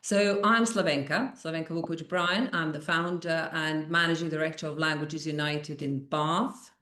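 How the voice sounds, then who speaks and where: A middle-aged woman from Croatia, a language school founder speaking in a slightly halting way with fast bursts. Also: sits low, fairly even intonation, bright, forceful tone, reverberant. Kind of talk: podcast interview.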